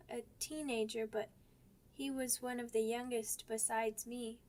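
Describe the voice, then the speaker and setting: Woman voicing a high-pitched, timid young werewolf pup. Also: lightly acted. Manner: childlike, halting, stammering, simple words. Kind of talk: ASMR roleplay audio drama.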